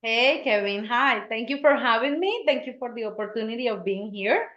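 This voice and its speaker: A woman from Colombia, speaking English, tells her story as a guest on a podcast. Her voice sits fairly high, and she laughs easily. The recording is roomy and slightly muffled. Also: lively intonation, normal speed, fairly bright tone. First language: Spanish. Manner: laughs easily, earnest and chatty. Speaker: guest, real estate agent. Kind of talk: casual interview talk. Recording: echoey, dull-sounding room.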